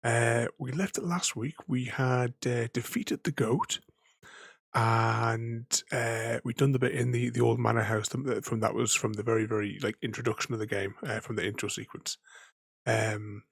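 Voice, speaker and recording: A man's voice: medium pitch, steady tone, normal speed, vocal fry, husky, no room sound, clean studio sound. A man streaming a game who is losing his voice, rambling casually with many fillers and laughs. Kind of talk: casual gaming stream chat.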